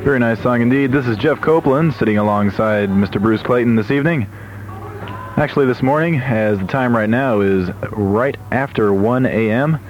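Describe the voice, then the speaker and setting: A man's voice: mid-range voice, normal speed, dull audio, faint music behind. A man working as a radio DJ, chatting between records in a studio, on an old AM aircheck recording. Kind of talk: radio music DJ chat. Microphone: studio broadcast microphone.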